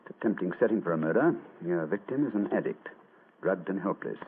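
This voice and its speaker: Casting: male actor for a witty gentleman sleuth, fast, formal and highly expressive, in thin vintage radio sound. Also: narrow, tinny audio. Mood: grave, deliberate. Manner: precise, formal, theatrical. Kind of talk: old-fashioned radio drama acting. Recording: noisy old radio sound, band-limited.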